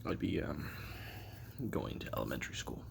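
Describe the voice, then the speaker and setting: A man playing a weary, lonely, bitter assassin recording a halting, confessional audio-drama memoir in a gravelly voice. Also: a bass voice, expressive, noisy background, exaggerated voice. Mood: subdued, haunted. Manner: halting, brooding, self-deprecating, trailing off. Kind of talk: confessional audio roleplay monologue.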